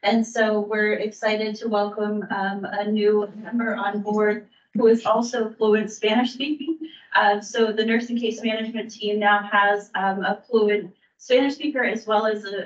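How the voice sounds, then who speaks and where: A woman who works for a county aging services bureau, giving a staff update at a public meeting in a measured way, with frequent ums and restarts. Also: mid-range voice, level tone. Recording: distant roomy mic, dull sound.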